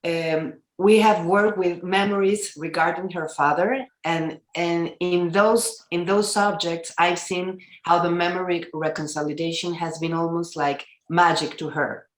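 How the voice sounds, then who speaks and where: Woman, lower voice, halting, roomy echo on a video call. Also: fairly even intonation. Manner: searching for words, halting. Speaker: therapist asking a question. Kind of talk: audience question on a video call.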